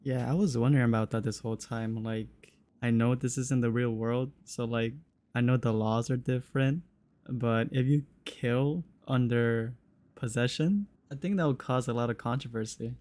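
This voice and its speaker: A man's voice: mid-range voice, fairly even intonation, moderate pace, a little mellow. A young adult man, a game streamer giving casual, slangy reaction commentary. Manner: slangy, informal.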